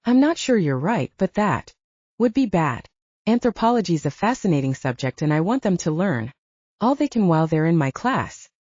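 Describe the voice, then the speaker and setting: Woman voicing earnest, husky male werewolf professor. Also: lowish voice, big pitch swings, moderate pace, a little mellow, no echo. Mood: sincere. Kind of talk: audiobook character dialogue. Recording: dull, lo-fi audio.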